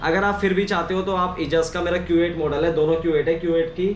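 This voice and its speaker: A man's voice: a little high, flat delivery, fast, highs cut off. Man, casual tutorial, noisy background. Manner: informal, explanatory, repeats himself. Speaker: video creator giving a how-to. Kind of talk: casual hands-on tutorial chat. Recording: clearly noisy ambient background.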